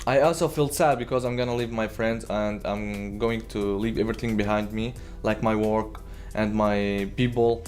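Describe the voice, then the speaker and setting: A man's voice: lowish voice, steady tone, moderate pace, few pauses. A young man from Syria, an English speaker, telling his refugee story in simple, earnest sentences with small slips. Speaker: refugee interviewee. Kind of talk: personal testimony. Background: soft music.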